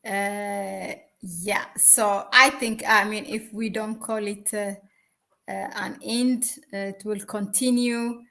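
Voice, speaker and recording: Bright-voiced Ethiopian woman host. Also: medium pitch, steady tone, slow, creaky. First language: Amharic. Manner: hesitant, many fillers and restarts. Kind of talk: casual interview hosting.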